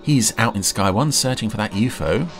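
A man giving casual, musing commentary while watching a TV episode, often pausing mid-thought. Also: fairly low pitch, animated, faint music behind. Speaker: podcast commentator.

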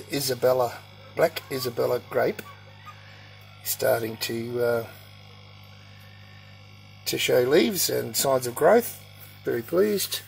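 A man giving a casual, halting tour of his backyard garden, filmed on a handheld phone outdoors. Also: mid-range voice, vocal fry, low-quality recording. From Australia. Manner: slow, halting, with frequent ums and uhs. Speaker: gardening channel host.

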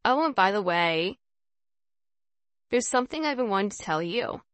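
A woman's voice: mid-range voice, lively intonation, moderate pace, a bit bright, no echo, lightly acted. A woman voicing a friendly, encouraging schoolgirl who leads a chess class in a visual novel, in an instructive manner. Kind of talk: reading visual novel dialogue aloud.

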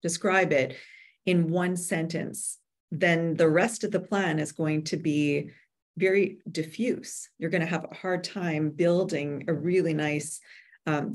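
Low-pitched woman explaining in measured tones. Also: steady tone. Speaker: guest expert, book publisher. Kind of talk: explanatory webinar talk. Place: indoor room with bookshelves, on a video call. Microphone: video call microphone.

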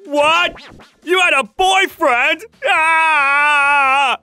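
A man voicing an excitable, goofy, girl-crazy young hiker with over-the-top dramatic pleading in a manga dub. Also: extremely high pitch, extremely slow, no room sound, heavily acted. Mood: wailing, dejected.

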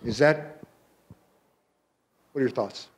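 An older man on a panel speaking earnestly into a handheld mic on an auditorium stage, often pausing and restarting his sentences. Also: medium pitch, slightly rough. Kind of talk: panel discussion.

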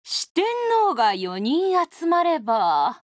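Swaggering oni brawler, high and lively. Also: female voice, takes it slowly, dry recording, studio quality. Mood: cocky, musing. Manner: swaggering, rough tomboyish speech. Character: bold, hard-drinking female oni brawler, cocky and easygoing. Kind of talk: video game character voice lines.

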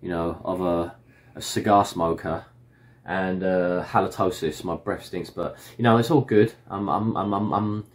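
Hoarse man chatting in a toy stockroom. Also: deep voice, steady tone, a little slow. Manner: chatty, rambling, frequent fillers and restarts. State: hoarse, recovering from illness. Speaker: toy shop owner. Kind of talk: casual vlog to customers. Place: indoor stockroom full of toy boxes.

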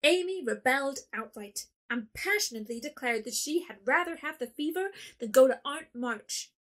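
A woman narrates an audio drama in a steady storytelling voice. Her pitch sits a little high, and her delivery is even and clear. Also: moderate pace. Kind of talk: scripted narration.

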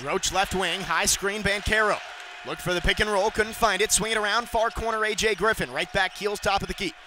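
A young man calling radio basketball play-by-play, rattling off plays and shouting on big moments. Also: high-pitched, a little hiss or hum. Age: young adult. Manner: rapid excited calls, shouting on big plays. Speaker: play-by-play announcer.

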